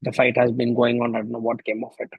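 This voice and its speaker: A man's voice: medium pitch, monotone, fast talker, gravelly, breathy edge, dry recording, narrow, tinny audio. A middle-aged man from India with an Indian accent and Tamil as his first language, giving a reflective solo podcast monologue full of rhetorical questions. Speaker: podcast host.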